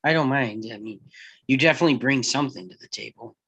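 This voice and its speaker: A man playing a timid, agreeable teenage boy in a table read, speaking in a hesitant, halting way. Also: medium pitch, sharp tone, slightly rough, highs cut off. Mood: timid, accommodating. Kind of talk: live table read acting.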